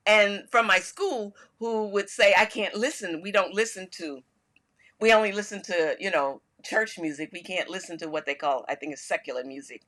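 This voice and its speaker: An older woman co-hosting a casual chat, telling stories in a loud voice and laughing often. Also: medium pitch. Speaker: podcast co-host.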